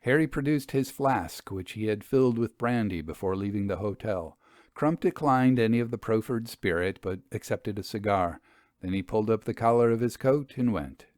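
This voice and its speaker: A man reading an old literary ghost story aloud in a measured, formal storytelling manner. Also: on the low side, expressive, a little mellow, dry recording, clean studio sound. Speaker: narrator reading a short story.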